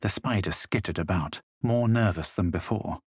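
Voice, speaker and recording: Husky, haughty fantasy adventurer. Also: male voice, deep voice, a little mellow, no echo. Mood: hushed narrating. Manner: superior, dry, measured. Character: haughty male adventurer, weary and sardonic fantasy hero. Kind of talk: video game character lines and narration.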